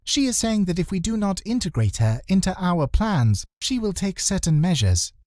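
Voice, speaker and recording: A wordy young know-it-all from a children's storybook, performed by a man, lifted far above his low speaking voice. The phrasing is stiff, formal and fussily exact. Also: sing-song, moderate pace, darkish tone, no echo, clean studio sound. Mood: pedantic.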